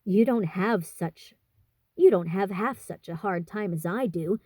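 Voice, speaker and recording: A woman's voice: mid-range voice, a little slow, dark tone, husky, no room sound. A woman voicing a blunt, boyish teenage tomboy in a storybook, brash and plain-spoken. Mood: grumbling.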